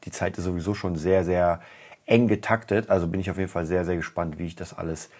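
A man hosts his own podcast, talking through his week in a loose, chatty monologue. His voice sits very low and sounds husky and stuffed up from a cold. He often repeats words to stress them. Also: fairly even intonation, quick pace, darkish tone. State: has a cold, stuffy nose. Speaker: podcast host. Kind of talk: casual weekly update monologue.

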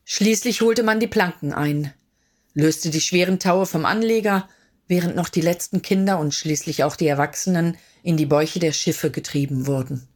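An actress playing a solemn crusade survivor, narrating in a measured way in a radio play with music behind. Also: female voice, lowish voice, natural voice. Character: solemn survivor recounting the children's crusade voyage. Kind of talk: dramatic radio play narration, archaic German.

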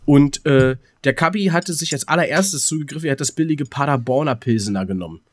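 A man's voice: medium pitch, brisk, dry recording. Dry-sounding man in his twenties, rambling. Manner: rambling, stuttering word repeats. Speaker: podcast co-host. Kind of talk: casual chat, telling anecdotes.

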